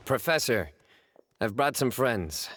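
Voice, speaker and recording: An actor playing a serious young investigator in a video game, measured, formal and deadpan. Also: male voice, mid-range voice, a little hiss or hum, full character voice. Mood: calm. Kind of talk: video game character dialogue.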